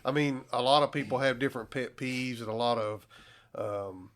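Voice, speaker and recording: A man's voice: mid-range voice, normal speed, fairly bright tone. A man talking casually and plainly on a podcast, in a folksy way. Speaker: coon hound breeder on a hunting podcast. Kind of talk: casual chat.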